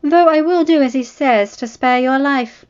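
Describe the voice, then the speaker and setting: Woman playing a haughty young prince, pitched up. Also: a little mellow, dull audio, lightly acted. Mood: provoked, haughty. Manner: haughty, taunting, drawn-out emphasis. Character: arrogant, sneering spoiled teenage prince.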